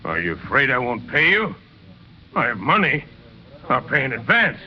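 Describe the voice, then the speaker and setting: A man acts out a ragged, hungry ex-convict who pleads humbly and haltingly. His voice moves widely between low murmurs and raised appeals. It comes from a vintage radio drama with a muffled sound and faint noise. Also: very animated, lightly acted. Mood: insistent, hungry. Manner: halting, plain-spoken pleading. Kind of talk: 1930s radio drama acting.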